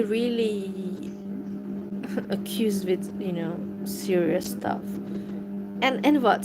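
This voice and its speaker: A woman with a non-native English accent chatting on a live stream, hesitant and full of fillers, breaking into soft laughs. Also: medium pitch. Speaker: livestream host. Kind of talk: casual live-stream chat, reading messages. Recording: noisy background.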